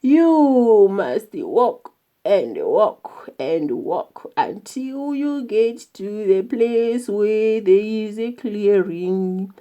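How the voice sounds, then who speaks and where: Woman voicing a slow, mean, lazy storybook elephant. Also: mid-range voice, big pitch swings, mellow. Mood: sleepy, dismissive. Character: huge, lazy, mean, bullying male elephant.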